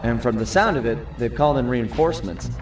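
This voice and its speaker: An actor playing a cocky, easygoing young raccoon thief in a cartoon video game, casual and wryly confident. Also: male voice, medium pitch, fast, reverberant, recorded from a distance, music in the background, lightly acted. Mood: wry musing. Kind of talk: game character monologue.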